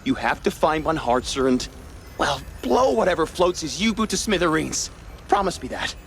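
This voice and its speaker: A man voicing a cynical, guilt-ridden rich mouse in an animated noir game, with a theatrical, evasive, hesitant delivery. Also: a little high, normal speed, slight background noise. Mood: worried.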